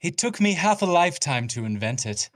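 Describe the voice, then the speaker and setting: A woman voicing a sly, genteel male count, a torturer with measured, insinuating delivery. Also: lowish voice, very animated.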